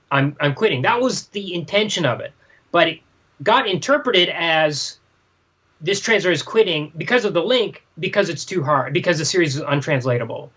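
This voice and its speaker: Man, slightly high pitch, halting, casual anecdote, repeats himself, muffled lo-fi sound. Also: fairly bright tone, breathy edge. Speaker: podcast co-host and manga translator. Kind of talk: casual chat, telling an anecdote.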